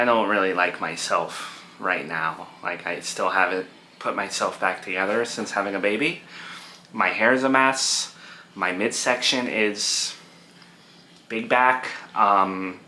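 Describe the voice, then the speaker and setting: A soft, low-key young mother acted out by a man, tired and self-conscious. The delivery is subdued and hesitant, kept gentle and quiet. Some background noise runs under this homemade drama skit. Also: exaggerated voice.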